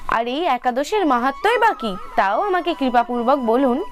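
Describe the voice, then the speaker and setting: A woman's voice: high-pitched, animated, moderate pace, soft background music. A woman voicing a reverent, curious devotee in a devotional cartoon, asking polite questions.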